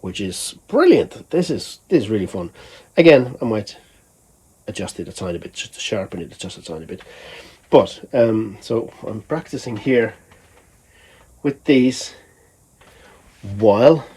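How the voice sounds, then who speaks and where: Male vlogger from Finland, lowish voice, unscripted and conversational, wandering through his thoughts as he speaks. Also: lively intonation, measured pace, pauses often, a little mellow, breathy edge. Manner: rambling, conversational, thinking aloud. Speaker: musician vlogger. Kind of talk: casual vlog talk.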